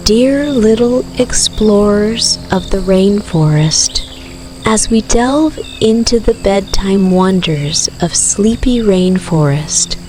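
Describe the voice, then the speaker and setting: A woman narrating a scripted bedtime story for toddlers in a soothing, gentle, unhurried manner. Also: mid-range voice, a little hiss or hum. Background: music with rainforest night sounds of rain, crickets and frogs.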